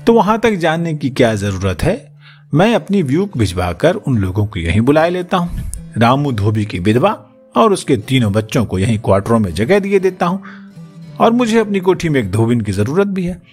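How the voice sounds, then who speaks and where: A man voicing a pushy, flattering, greedy rich businessman in an audiobook, insistent and wheedling. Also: medium pitch, moderate pace, soft background music, voice pushed up, close to an everyday voice. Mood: jovial, insistent. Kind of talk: audiobook character acting.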